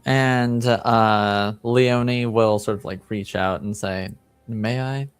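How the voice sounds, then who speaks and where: A man narrating as game master in a tabletop roleplaying game, improvising dialogue and often pausing to search for words. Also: lowish voice, steady tone, clean tone, dry recording, faint music behind.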